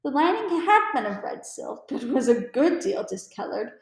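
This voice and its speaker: High-voiced woman narrating in an echoey room. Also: big pitch swings, moderate pace. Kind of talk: classic story read-aloud, scripted narration.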